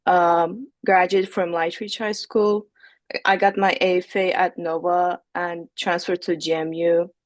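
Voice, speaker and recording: A woman's voice: fairly low pitch, no echo. A young woman from Turkey introducing herself as a student on a video call, plain and a little unsure. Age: young adult. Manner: plain, brief, slightly unsure. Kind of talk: self-introduction in class.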